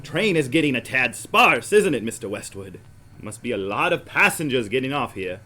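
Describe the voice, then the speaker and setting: A man's voice: mid-range voice, big pitch swings, normal speed, pushed and bright. A man acting a slick, boastful old-west traveling salesman with grandiose, genteel sales patter in an audio drama. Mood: smooth, haughty.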